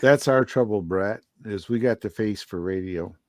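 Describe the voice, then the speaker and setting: A man chatting casually as a co-host in a relaxed two-way conversation. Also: lowish voice, moderate pace. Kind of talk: casual chat.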